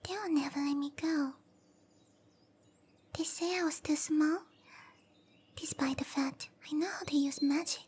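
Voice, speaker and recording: A woman voicing a tiny, shy young cowgirl monster girl in an anime-style roleplay ASMR, hesitant and apologetic, with soft, breathy delivery. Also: sits high, a little hiss or hum. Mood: wistful, embarrassed.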